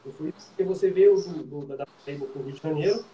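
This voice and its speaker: A man's voice: on the high side, fast, warm tone, dull audio. A man from Minas Gerais hosting a live interview, asking questions in a conversational way. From Minas Gerais, Brazil. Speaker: host. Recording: echoey room with faint noise.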